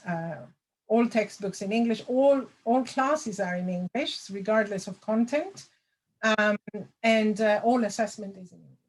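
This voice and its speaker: A middle-aged woman, a lecturer answering audience questions over a video call, thoughtful with many pauses and fillers. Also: mid-range voice, darkish tone, rough recording. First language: Greek. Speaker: language assessment lecturer.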